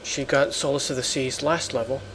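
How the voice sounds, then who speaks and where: A man giving halting, explanatory let's play commentary as host of a gaming video. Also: mid-range voice, fairly even intonation, fairly bright tone, a little hiss or hum.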